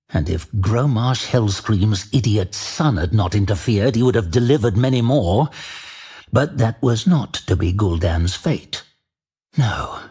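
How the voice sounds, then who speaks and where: Slow, measured male fantasy storyteller. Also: lowish voice, dark tone, slightly rough. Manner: measured, deliberate. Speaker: audiobook narrator. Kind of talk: dramatic scripted fantasy narration.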